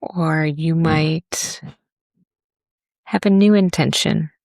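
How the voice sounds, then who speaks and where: A woman leads a guided body scan with a low voice that has a creaky edge. She speaks gently and leaves long pauses between phrases. The sound is close and dry. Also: fairly even intonation, no echo. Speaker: yoga and meditation teacher.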